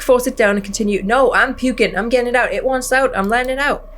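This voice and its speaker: A woman hosting a gaming video, reading the story aloud and commenting in a casual, expressive manner. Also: medium pitch, a little hiss or hum. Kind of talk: playthrough commentary and read-aloud narration. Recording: music behind the voice. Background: music.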